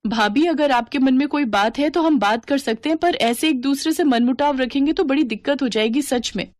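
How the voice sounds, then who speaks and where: A woman's voice: sits high, moderate pace, no echo, voice pushed up, natural voice. A woman voicing an aggrieved young bride in a family story, plaintive and protesting as she reasons. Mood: pleading. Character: young rich bride, aggrieved but reasoning sister-in-law. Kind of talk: Hindi storytelling drama.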